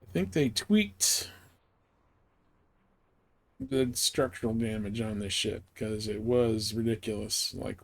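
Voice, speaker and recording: A man livestreaming a video game, thinking aloud in casual commentary and chatting with viewers. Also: on the low side. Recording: voice over clear background noise.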